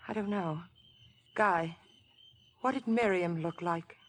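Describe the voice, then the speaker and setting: An actress playing an anxious, devoted young woman, earnest and insistent, with a hushed, breathy voice in 1950s radio drama style. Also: fairly low pitch, takes it slowly. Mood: hesitant, worried. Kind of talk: 1950s radio suspense acting.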